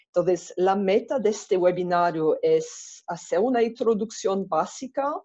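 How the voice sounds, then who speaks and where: Slow-speaking woman with a Brazilian Portuguese accent. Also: fairly low pitch, warm tone. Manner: slow and careful, with hesitations. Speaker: webinar presenter. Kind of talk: online presentation. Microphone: video call. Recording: dull lo-fi video call audio.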